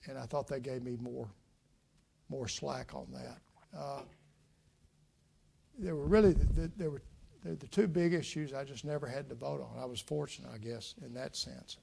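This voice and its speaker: An older man with a drawl talks to an audience in a lecture room, folksy and unhurried. His voice carries a gravelly edge and frequent "uh" pauses as he reflects on his answers. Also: medium pitch, fairly even intonation, warm tone, a little hiss or hum. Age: 60s. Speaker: guest speaker, former state legislator. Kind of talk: public talk with audience questions.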